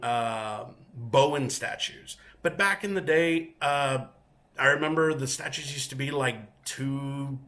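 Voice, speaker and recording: Bright-voiced man. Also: medium pitch. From Mexico. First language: Spanish. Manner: easygoing and chatty, with many filler pauses. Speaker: featured guest collector. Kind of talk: casual self-introduction and collection tour. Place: his home collection room.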